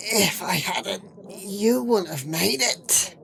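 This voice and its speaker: An actress playing a grave, restrained leader among immortal wardens in a fantasy audio drama. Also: female voice, medium pitch, expressive, takes it slowly, gravelly, a bit bright, heavily acted. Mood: grave, weary.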